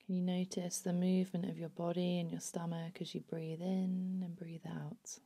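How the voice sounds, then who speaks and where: A woman narrating a guided body scan meditation in a soothing, unhurried, hushed manner. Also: lowish voice, little pitch movement, gravelly, slightly rough.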